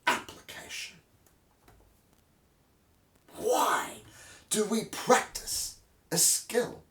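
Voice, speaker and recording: A man reads aloud like a stage performer, slowly and with great melodrama. His pitch swings widely between swelling emphasis and hushed phrases. He sounds set back from the microphone in a roomy space. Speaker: host reading a textbook aloud. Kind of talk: theatrical dramatic reading. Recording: roomy echo, distant microphone.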